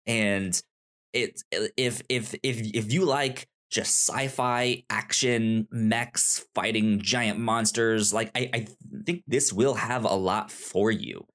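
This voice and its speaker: A man co-hosting a podcast, giving a casual review in a hesitant, halting way, repeating words as he searches for phrasing. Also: fairly low pitch, steady tone, a bit bright. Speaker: podcast co-host. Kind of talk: casual conversational book review.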